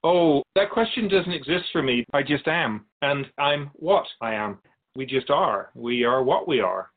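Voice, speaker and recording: An otherworldly male spirit played by a man speaks as a guest in a radio drama. He is calm and explanatory, curious about human speech, with a lively, varied pitch. The recording is a little dull and lo-fi. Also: a little slow. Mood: quizzical, serene.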